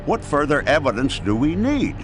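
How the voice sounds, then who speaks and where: A man's voice: a little high, sing-song, moderate pace, a little hiss or hum. An actor playing an exasperated, incredulous intelligence officer arguing his point in a video game cutscene. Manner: incredulous, argumentative. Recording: background music under the voice.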